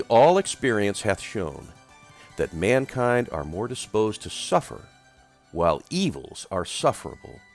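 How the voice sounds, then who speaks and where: A man giving a solemn, emphatic oratorical reading of a formal historical document, in measured phrases. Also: lowish voice. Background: music.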